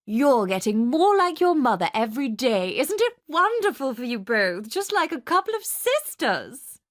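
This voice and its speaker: A woman playing an excitable party guest in a radio drama, effusive and shrill. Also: moderate pace. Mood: shrieking glee. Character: gushing, excitable party guests screaming good wishes.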